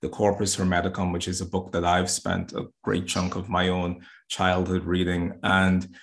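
A man's voice: sits low, monotone, moderate pace, gravelly. A man from the Bahamas, a guest astrologer and yoga teacher, talking earnestly in a casual interview and often restarting his words.

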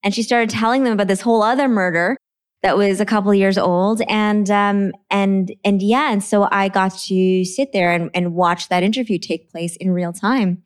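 A woman from Trinidad, an author talking about her books in a relaxed interview, earnest and quick to laugh. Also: mid-range voice, clear, resonant tone. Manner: earnest, laughs easily, repeats words when starting. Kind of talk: conversational interview.

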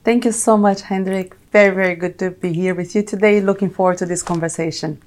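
A woman's voice: medium pitch, steady tone, brisk, a little mellow. A middle-aged woman from Brazil with a Brazilian accent, explaining things reflectively as an executive guest in a corporate interview.

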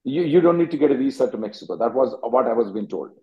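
A man's voice: mid-range voice, expressive, fast talker, darkish tone. A man hosting an immigration call-in, answering callers in a curt, businesslike way and hurrying them along. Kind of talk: brisk Q&A on a conference call. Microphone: conference call line. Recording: dull lo-fi call audio.

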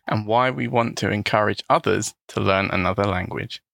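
A man co-hosting a language podcast. His voice is bright and crisp with a gravelly edge, and it swings up and down as he talks. He speaks in a relaxed, thoughtful way, with a few small restarts, and the recording is close and dry. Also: fairly low pitch, normal speed, dry recording. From England. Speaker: podcast co-host, English teacher. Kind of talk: casual podcast chat.

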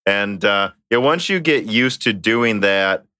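A man hosts a casual interview, his fairly low voice bright and forceful. He talks quickly and chattily and often laughs partway through a sentence. The sound is close and dry, with little room tone. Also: animated, clean tone, no echo. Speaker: interviewer, host.

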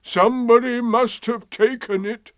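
Mournful, slow old grey donkey. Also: male voice, extremely high pitch, fairly even intonation, fairly bright tone, dry recording, pitched above the performer's own voice. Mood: gloomy, mournful. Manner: slow, drawn-out, mournful delivery.

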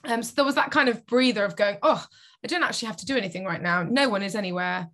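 Croaky young woman chatting. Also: medium pitch, fast talker, a bit bright. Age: 20s. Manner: chatty, reflective, quotes her own inner thoughts. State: slightly croaky, tired voice. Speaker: podcast co-host. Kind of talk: casual chat.

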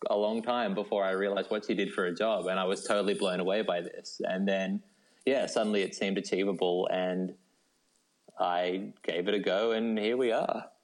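A man's voice: low-pitched, steady tone, moderate pace, low-quality recording. An Australian man answering as an interview guest in an informal, rambling way, peppering his talk with filler words. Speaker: novelist guest. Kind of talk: casual chatty interview answers.

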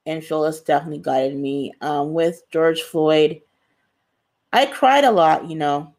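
An earnest woman speaks with a gravelly, creaky edge to her voice. She talks about her work as a guest author, leaning on repeated phrases to drive each point home. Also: sits low, unhurried, darkish tone. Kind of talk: reflective interview answer.

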